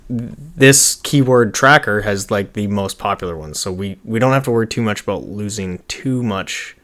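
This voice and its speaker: Low-voiced man, rambling casual tutorial. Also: a bit bright. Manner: rambling, informal, muttering to himself while working. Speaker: online marketing tutorial host. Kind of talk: casual screen-share tutorial, thinking aloud. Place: small indoor room at a computer.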